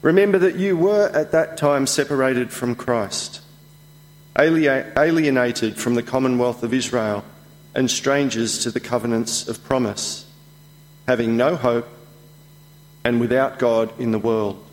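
A man reading a Bible passage aloud in a slow, measured way during a church service. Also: mid-range voice. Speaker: scripture reader. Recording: long echo of a church hall.

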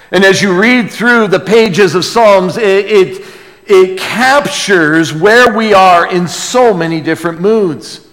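A man preaching a sermon as a pastor, earnest and emphatic, moving between hushed lines and loud exclamation, with rhetorical questions to the congregation. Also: high voice. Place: large reverberant room, preaching to a congregation. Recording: long hall reverb.

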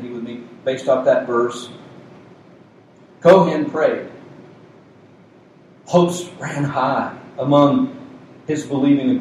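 A man's voice: mid-range voice, a little mellow, very echoey, far from the mic, poor audio. A man preaching a Sunday sermon as a pastor, with a soothing voice and a conversational, joking manner. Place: community center meeting room with a cooling unit. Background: faint hum of a cooling unit.

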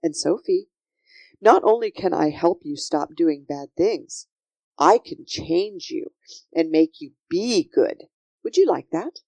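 Woman voicing a slow, gentle, solemn king. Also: lowish voice, animated, no echo, heavily acted. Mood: reassuring. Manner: measured, kindly, authoritative. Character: gentle, solemn kingly man, patient and reassuring. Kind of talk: children's storybook character voice.

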